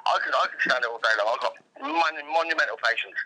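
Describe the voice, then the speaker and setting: A man's voice: mid-range voice, quick pace, pushed and bright. A British man on a telephone line, talking casually in a dry, sardonic way and repeating himself. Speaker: phone call taker being pranked. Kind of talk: casual phone conversation.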